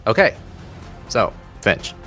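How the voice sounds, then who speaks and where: A man's voice: takes it slowly, sharp tone. A man narrating a tabletop game as game master. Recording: faint music bed under voice. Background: faint music.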